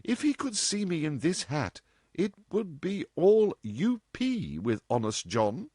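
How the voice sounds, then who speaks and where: A man's voice: fairly high pitch, big pitch swings, slow pace, dark tone, slightly rough, no room sound, clean studio sound, pitched above the performer's own voice, heavily acted. A man reading aloud and voicing an impudent, teasing young woman who talks flippantly to her mother. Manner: playful, cheeky, flippant.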